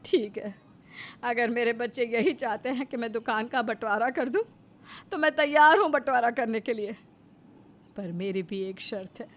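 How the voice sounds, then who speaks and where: A woman's voice: fairly high pitch, moderate pace, close to an everyday voice. A woman voicing a stern, wounded older widowed mother in an acted storybook, firm and admonishing. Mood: sorrowful, resolute.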